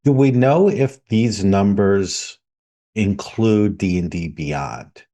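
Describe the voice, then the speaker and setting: A man co-hosting a podcast who mostly listens, then asks short follow-up questions and reasons them through out loud. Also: on the low side, slow pace. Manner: brief follow-up questions and thinking aloud. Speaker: podcast co-host. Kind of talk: casual podcast conversation.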